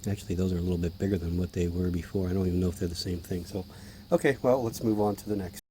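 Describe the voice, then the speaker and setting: A hoarse man with a mellow, slightly low voice talks casually while feeding his pets. His manner is chatty and offhand, and he sometimes drops to a near-whisper. A faint hiss sits under the recording. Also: fairly even intonation, very fast. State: hoarse, clearing his throat. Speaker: tarantula keeper filming feedings. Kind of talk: casual hobby vlog narration.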